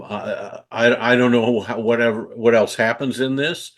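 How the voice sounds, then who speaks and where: A man with a fairly low voice gives spoken feedback as a reviewer. He speaks off the cuff, hesitating and circling back over his phrases as he goes. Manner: halting, hesitant, repeating phrases. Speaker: film industry reviewer.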